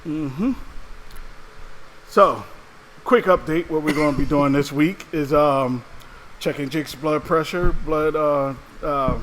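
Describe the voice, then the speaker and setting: A man co-hosting a casual podcast. His voice sits a bit higher than most men's, and he chats easily, laughing between words. A faint room hum sits behind him. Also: takes it slowly, pauses often, a bit bright, rough recording. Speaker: podcast co-host.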